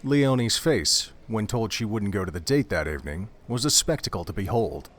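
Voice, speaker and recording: Low-voiced male narrator. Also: moderate pace. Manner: steady, descriptive storytelling. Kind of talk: scripted audio drama narration.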